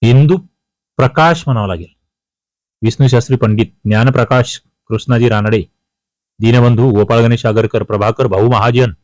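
A man's voice: medium pitch, fairly even intonation, normal speed, darkish tone, no echo. A man teaching exam history, reading out quiz questions, prompting with questions and repeating the key answers.

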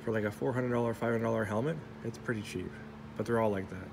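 A man casually reviewing his welding helmet, talking in a halting, rambling way with self-corrections and small jokes, recorded on a handheld phone in a workshop. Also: on the low side, gravelly. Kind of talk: casual off-the-cuff product review. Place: workshop, indoors. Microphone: phone camera held in hand.

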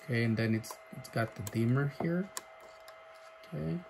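A man casually showing off a radio he is selling, talking haltingly with many fillers and breaking into loud clipped mic tests. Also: medium pitch, vocal fry, background noise. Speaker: seller demonstrating a radio. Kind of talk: casual off-the-cuff product demo. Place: indoor workshop bench.

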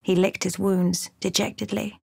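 A woman narrating a fantasy game as a solemn storyteller, in a measured, dramatic manner. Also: mid-range voice, flat delivery, gravelly, husky, no echo, studio quality.

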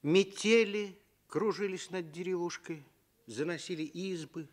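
A man narrating a children's tale for radio with deliberate, expressive phrasing. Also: sits high. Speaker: storyteller.

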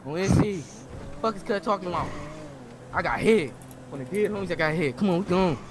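A man's voice: sits high, brisk. A man role-playing in an online game, talking in agitated, repetitive street slang. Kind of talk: gaming role-play chatter in street slang. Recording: clearly noisy game voice chat with engine sounds.

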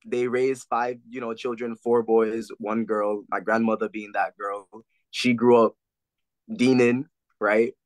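A man's voice: lowish voice, flat delivery, unhurried, dry recording, rough recording. Young Jamaican-born man on a video call. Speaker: guest. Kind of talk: casual video call chat. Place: indoor room.

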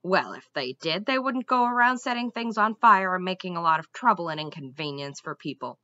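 A woman's voice: lowish voice, animated, fairly quick, pushed and bright, dry recording, dull audio. A woman voicing a bossy, huffy female squirrel who lectures emphatically in a storybook read-aloud. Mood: indignant, scolding.

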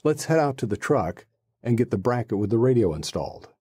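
A middle-aged man presenting a how-to in a measured, matter-of-fact manner. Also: mid-range voice, sing-song, warm tone, husky, dry recording, studio quality. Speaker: host presenting a radio installation.

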